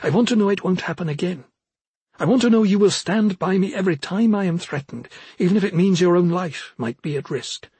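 Young woman military leader, male reader, audiobook fantasy. Lifted pitch, demanding, controlled, pointed. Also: animated, a little fast, a little mellow, breathy edge, no room sound, full character voice. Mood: earnest, demanding. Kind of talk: audiobook character dialogue.

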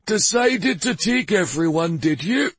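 Man as a genial, teasing king, raised pitch. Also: lively intonation, takes it slowly, breathy edge, no room sound, full character voice. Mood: amused. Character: genial, amused fantasy king, male.

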